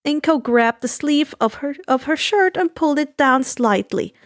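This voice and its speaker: High-pitched woman narrating a story. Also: moderate pace, dry recording, studio quality. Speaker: fan fiction reader. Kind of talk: expressive scripted story narration.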